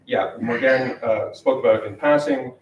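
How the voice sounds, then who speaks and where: A man moderating a public panel discussion, halting and full of fillers. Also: mid-range voice, roomy sound, recorded from a distance, muffled recording, slight background noise. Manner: hesitant, many fillers. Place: lecture hall with audience.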